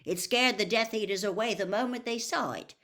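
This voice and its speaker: A woman voicing a brisk, matter-of-fact young man in a storybook reading. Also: medium pitch, fairly bright tone, voice pushed up, lightly acted.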